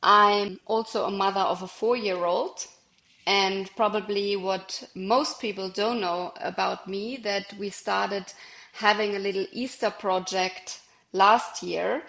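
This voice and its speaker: A woman with a German accent speaks as a podcast guest, in a conversational interview style. Her voice has some creak in it, and the room adds noticeable echo. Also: mid-range voice, fairly bright tone.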